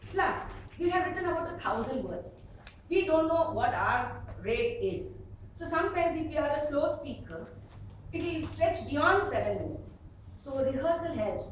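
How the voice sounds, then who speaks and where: A middle-aged woman with an Indian accent giving an instructional speech to an audience, expressive and emphatic, with dramatic pauses. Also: high voice. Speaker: public speaking trainer at a speakers club. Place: echoey meeting room with an audience. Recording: echoey hall from far off, noisy.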